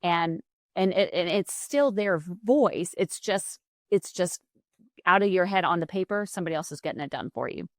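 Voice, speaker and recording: A woman who runs a publishing company, talking as a podcast guest in a quick, animated way with frequent restarts. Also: on the low side, no echo, clean studio sound. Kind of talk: casual podcast conversation.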